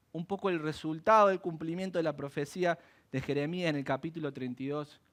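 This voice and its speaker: A man preaching a sermon in church, animated and asking rhetorical questions. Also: on the high side. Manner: animated, acts out quoted voices and asks rhetorical questions. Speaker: pastor.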